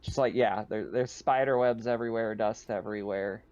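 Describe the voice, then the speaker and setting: A man's voice: fairly low pitch, fairly even intonation, moderate pace, darkish tone, highs cut off. A man improvising casual, informal narration as game master of a tabletop roleplaying game, with some swearing.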